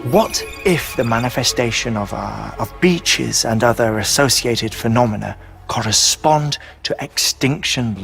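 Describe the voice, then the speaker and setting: An actor playing an earnest, eccentric male scientist who lectures in measured, deliberate phrases in a video game cutscene. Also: medium pitch, creaky. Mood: thoughtful.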